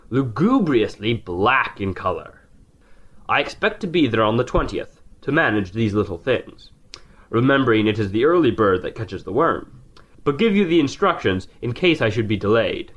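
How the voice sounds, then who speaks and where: A man's voice: medium pitch, a bit bright, dull audio. A man playing a jolly, theatrical uncle who reads his letter with playful mock-pompous flourishes in an audio drama. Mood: playfully commanding.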